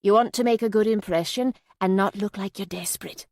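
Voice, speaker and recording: A woman's voice: medium pitch, brisk, darkish tone, no room sound, studio quality, lightly acted. A woman voicing a cheery, affectionate Yorkshire woman in an audiobook, effusive and full of endearments. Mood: teasing, reassuring. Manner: chirpy, effusive, uses endearments.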